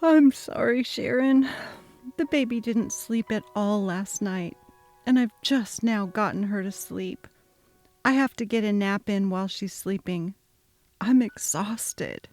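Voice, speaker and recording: A woman's voice: medium pitch, measured pace, a little mellow, no echo, faint music behind, natural voice. A young woman voicing an exhausted new mother, speaking earnestly and pleading. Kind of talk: dramatic reading of a text-message story.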